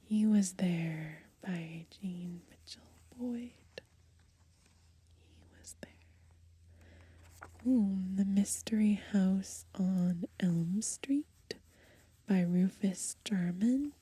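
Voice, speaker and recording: A young woman softly whispering in a gentle ASMR style as she browses and reads from a book outdoors. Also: mid-range voice, drawn-out words, pauses often. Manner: soft, gentle, breathy whispering. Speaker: ASMR creator. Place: outdoors in the grass.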